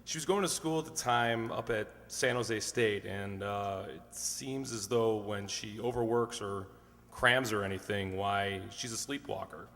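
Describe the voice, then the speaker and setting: A man's voice: lowish voice, measured pace, fairly bright tone. A man playing a plain-spoken married salesman as a hesitant, rambling contestant on an old-time radio quiz. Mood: earnest. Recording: long hall reverb.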